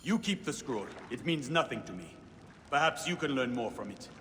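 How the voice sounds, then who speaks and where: An actor playing a fierce, impatient rebel warrior of ancient Egypt in a video game, commanding and emphatic. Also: male voice, mid-range voice, animated, normal speed, a bit bright, in a large hall, slight background noise, natural voice. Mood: urgent, defiant. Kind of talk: video game cutscene acting.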